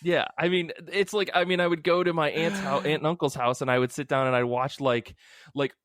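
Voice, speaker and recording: Man in his thirties, podcast host, casual chat, somewhat high voice, very dry recording. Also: fairly even intonation, brisk, fairly bright tone, no room sound.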